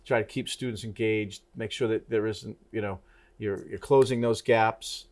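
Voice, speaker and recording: Male talk host, middle-aged, lowish voice, halting delivery full of restarts. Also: fairly even intonation, darkish tone. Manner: halting, many restarts and fillers. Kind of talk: casual interview conversation.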